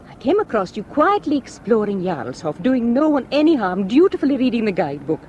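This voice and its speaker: A woman's voice: a little high, very animated, fairly quick, darkish tone, highs cut off, slight background noise. An actress playing an enthusiastic young woman from Shetland with a light Shetland Scots accent, a graduate who guides a visitor in a radio drama. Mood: earnest. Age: young adult. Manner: earnest, enthusiastic, self-deprecating. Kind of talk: 1960s radio drama acting.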